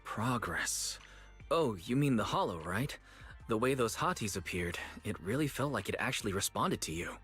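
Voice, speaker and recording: A man playing a calm, supportive young friend who asks thoughtful questions in a video game. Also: fairly low pitch, normal speed. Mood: curious, encouraging. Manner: thoughtful, encouraging. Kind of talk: English video game voice acting. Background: game music.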